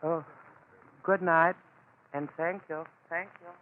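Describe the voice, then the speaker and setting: An actor playing a timid, henpecked clerk in an old-time radio drama, hesitant, deferential and flustered. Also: male voice, a little high, steady tone, slow pace, a little hiss or hum. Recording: muffled, narrow-band vintage radio recording.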